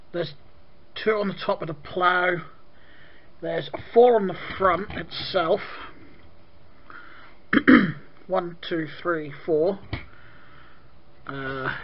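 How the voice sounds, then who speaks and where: A man from Britain with a croaky voice, casually reviewing a toy set, rambling with lots of ums and clearing his throat. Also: sits high, lo-fi sound. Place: indoor room at a desk with toy display.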